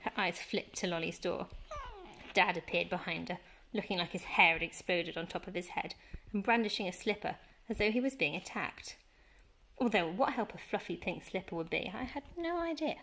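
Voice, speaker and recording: A woman reading a children's storybook aloud as a teacher, with animated, dramatic emphasis. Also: fairly low pitch, moderate pace, low-quality recording. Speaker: primary school teacher reading story time.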